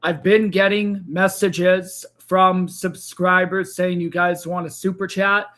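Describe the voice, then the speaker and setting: Hoarse man hosting a trading livestream. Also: level tone, slow, a bit bright. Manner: tired, low-energy sign-off with frequent fillers. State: hoarse, losing his voice. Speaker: crypto trading livestream host. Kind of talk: casual livestream talk to viewers.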